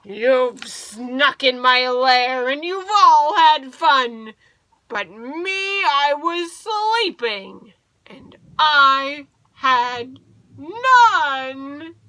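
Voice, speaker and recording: A big, grumpy storybook bear, played by a woman, complains at full volume. He sounds wounded and wailing, with bright, forceful delivery and wide swoops in pitch. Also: animated, very slow, no room sound, full character voice, poor audio. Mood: indignant, self-pitying.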